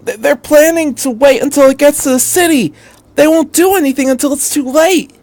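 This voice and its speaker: Man putting on a guilt-ridden teen boy, high strained pitch, pleading, shaky, loud, sci-fi story reading. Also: a little fast, no echo. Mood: desperate.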